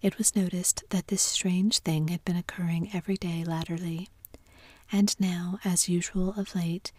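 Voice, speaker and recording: A woman narrating a classic story in a soft whisper throughout. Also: on the low side, flat delivery, moderate pace, husky, dry recording. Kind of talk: whispered audiobook reading.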